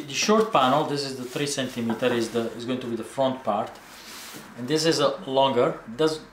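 In a roomy, echoing indoor workshop with some noise behind him, a man in his forties explains a DIY job. He talks casually and haltingly, thinking aloud, picked up by a camera mic at some distance. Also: mid-range voice, fairly bright tone. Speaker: DIY video maker. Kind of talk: casual hands-on workshop tutorial narration. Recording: echoey room, faint background noise.

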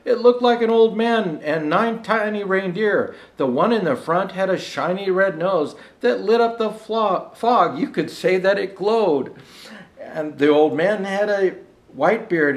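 Man playing high-voiced old Santa, echoey room. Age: older. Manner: gentle storyteller, showing pictures to listeners. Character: jolly old Santa Claus reading a storybook. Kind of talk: rhyming storybook read aloud with chatty asides. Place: indoor room set with fireplace and tree.

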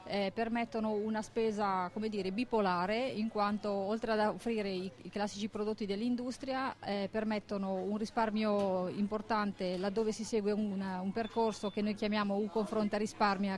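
A woman in her forties, a marketing director, presenting in a measured, businesslike way with frequent hesitations, speaking into a handheld mic inside a supermarket. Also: medium pitch, fairly even intonation. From Italy. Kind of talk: corporate presentation.

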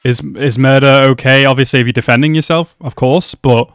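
A young man talks through an anime episode from a small room at home, wearing a headset. His voice sits at an ordinary pitch, and the sound is close and dry. He chats loosely, swears freely and keeps calling the characters bro. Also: fairly even intonation, a little fast, a bit bright, smooth, no echo, soft background music. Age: young adult. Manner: chatty, swears a lot, calls characters bro. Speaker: anime reaction host. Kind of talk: casual reaction commentary. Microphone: headset mic.

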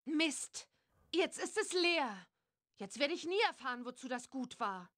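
Curious little witch girl for a kids cartoon game, high voice, super animated and expressive. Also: measured pace, noisy background. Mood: disappointed. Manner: clear, expressive, storytelling delivery. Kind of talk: children's cartoon adventure game acting.

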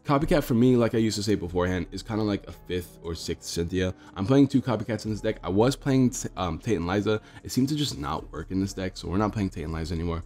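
Fast-talking stuffy-nosed young man. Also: lowish voice, darkish tone. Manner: rambling, explanatory, addresses viewers as guys. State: stuffy nose. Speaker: card game streamer. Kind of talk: casual deck tech commentary.

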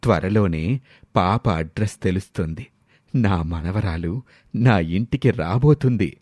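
A man voicing an elated older grandfather character in a dramatised story, speaking slowly and emphatically in a confiding way. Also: deep voice, a little mellow, dry recording, clean studio sound, voice pushed down. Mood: joyful, longing.

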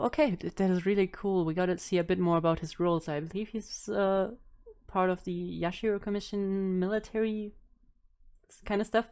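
A woman's voice: lowish voice, a little mellow. A young woman giving casual reaction commentary as a streamer, informal and hesitant as she thinks aloud. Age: young adult. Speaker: reaction video host and streamer. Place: indoor room at home. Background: music.

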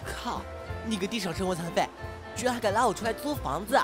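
A man's voice: high-pitched, fast, natural voice. A man playing a nagging, thrifty, domestic young man in an audio drama. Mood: indignant. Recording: loud background music.

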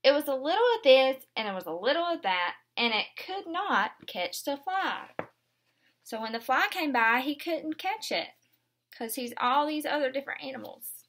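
High, animated young woman reading aloud. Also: normal speed. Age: 20s. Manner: expressive, simple explanations for young children. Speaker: kindergarten teacher. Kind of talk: animated read-aloud of a children's picture book. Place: small furnished room indoors.

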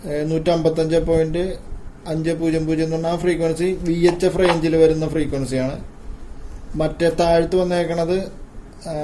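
A man giving an informal hands-on gadget demonstration, haltingly and repeating his phrases. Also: a little high, noisy background. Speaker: tech video presenter.

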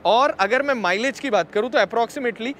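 A man's voice: very high-pitched, normal speed, fairly bright tone, a little hiss or hum. A man presenting a vehicle walkaround in a chatty way, talking to his viewers, recorded outdoors at an expo. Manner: chatty, addresses viewers as friends. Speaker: vehicle reviewer.

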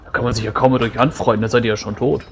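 Naive tabletop dwarf, acted by a man, average pitch, easily astonished, conversational. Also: fairly even intonation, rapid-fire, crowd noise, lightly acted. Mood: naive, sorry. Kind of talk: in-character tabletop roleplay.

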